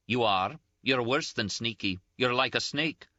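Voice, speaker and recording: A man voicing a tearful, scolding young Scottish nurse, shrill and sobbing in an audiobook. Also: lively intonation, measured pace, sharp tone, dry recording, highs cut off. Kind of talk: audiobook character voice.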